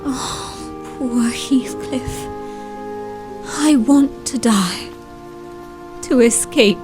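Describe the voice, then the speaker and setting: A woman's voice: on the high side, drawn-out words. A woman playing a passionate, dying young woman in a melodramatic audio drama, pleading and yearning. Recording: dramatic music behind the voice. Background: dramatic music.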